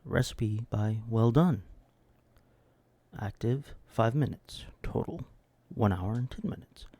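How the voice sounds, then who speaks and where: A man with a low, gravelly, husky voice hosting a deadpan comedic reading of recipes. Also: slow, dry recording. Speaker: host reading recipes and comments.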